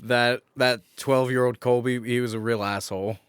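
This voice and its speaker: A man's voice: mid-range voice, fairly even intonation, normal speed, a bit bright, clean tone, dry recording, studio quality. A man co-hosting a podcast, chatting casually in a wry, informal way with casual swearing. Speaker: podcast co-host. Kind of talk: casual chat.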